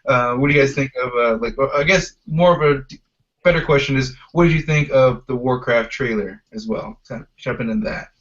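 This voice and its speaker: A man's voice: medium pitch, fairly quick, a bit bright. Man hosting, in dull lo-fi audio. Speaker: podcast host. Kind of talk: casual panel discussion.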